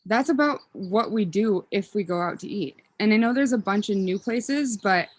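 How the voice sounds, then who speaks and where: A woman's voice: mid-range voice, animated, moderate pace, no echo. A woman in her thirties from Minnesota, chatting casually as a podcast guest, rambling with ums and self-corrections. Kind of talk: casual interview chat.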